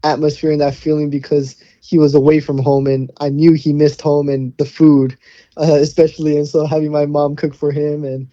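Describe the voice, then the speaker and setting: A man's voice: on the high side, flat delivery, normal speed, mellow, no room sound, highs cut off. A young man from Hawaii, a college football player, answering interview questions in an easygoing way with many fillers and restarts. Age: young adult.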